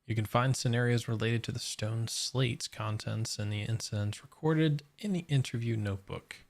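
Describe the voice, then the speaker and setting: A man's voice: medium pitch, moderate pace, vocal fry. A man livestreaming a game, commenting casually and reading on-screen text aloud.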